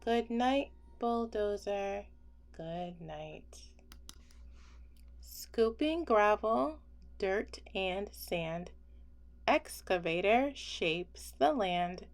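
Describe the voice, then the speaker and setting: A woman reading a children's picture book aloud as a bedtime story, expressive and gentle, with deliberate phrasing. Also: medium pitch.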